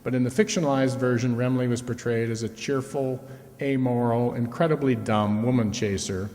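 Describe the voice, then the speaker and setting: A man's voice: mid-range voice, steady tone, normal speed, darkish tone. A man giving a scripted introduction from the stage as host of a radio players' show. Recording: reverberant hall, live stage.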